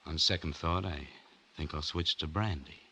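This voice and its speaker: A man's voice: extremely low pitch, normal speed, pushed and bright, husky, heavily acted. An actor playing a broke, wry drifter who tells his story in laconic hard-boiled 1940s radio noir style. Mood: wry, cool. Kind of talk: 1940s radio noir acting and first-person narration. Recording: vintage 1940s radio recording with music behind.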